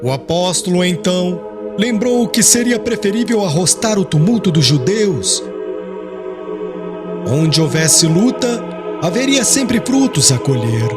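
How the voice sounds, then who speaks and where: A man with a Brazilian accent reads a literary audiobook in a solemn, expressive storytelling manner. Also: sits high, unhurried. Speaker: audiobook narrator. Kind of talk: scripted literary narration. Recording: music under the voice. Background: background music.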